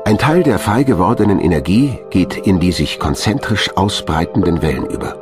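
A man's voice: deep voice, animated, normal speed. A man reading scripted narration for a science documentary as a studio voice-over. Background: background music.

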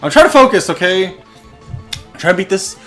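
Young male let's-play host, lively up-and-down voice, excitable, cursing at the game. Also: high voice, moderate pace, soft background music. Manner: excitable, swearing, frustrated outbursts. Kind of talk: casual gameplay commentary.

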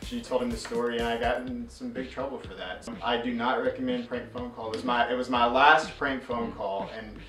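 A man's voice: medium pitch, steady tone, brisk, hardly any pauses, music in the background. A man in his thirties co-hosting a casual, bantering show, recorded in a small room. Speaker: co-host of a comedy trivia show. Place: small furnished room indoors. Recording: roomy echo, slightly distant mic.

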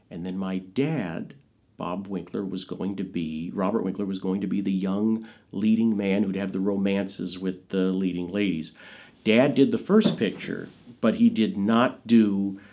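Low-voiced American man telling stories. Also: a little mellow. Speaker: interviewee, actor and filmmaker. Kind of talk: anecdotal interview storytelling. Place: indoors, in front of dark curtains.

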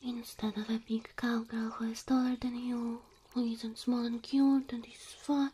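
A woman voicing a gentle, devoted, insecure cow girl girlfriend in soft, halting, intimate ASMR roleplay, often whispering. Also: on the high side, level tone, a little hiss or hum, lightly acted. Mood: anxious, unsure.

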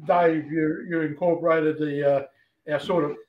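Higher-pitched Australian man in a roomy workshop. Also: steady tone, a little slow, dull audio. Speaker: podcast host. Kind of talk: casual panel chat. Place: small indoor workshop on a video call. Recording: roomy, noisy workshop video-call audio.

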